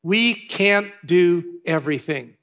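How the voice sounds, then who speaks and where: A pastor from Canada giving church announcements and a sermon in a chatty way, with asides and filler words. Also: male voice, high-pitched, lively intonation, slow, a bit bright. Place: large church hall. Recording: long hall reverb.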